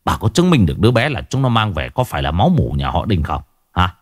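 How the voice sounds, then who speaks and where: A man's voice: deep voice, very animated, fast. A man voicing a hot-tempered, stubborn old husband who scolds and snarls in bitter, foul-mouthed questions, in a dramatic audio story. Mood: bitter, accusing. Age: older.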